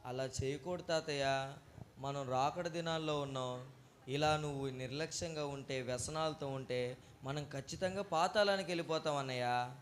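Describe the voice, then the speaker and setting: A man's voice: a little high, moderate pace. A man with a preacherly, instructive manner, presenting a children's puppet show and leading prayer. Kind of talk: exhorting and leading children at church. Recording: faint noise, live ambience.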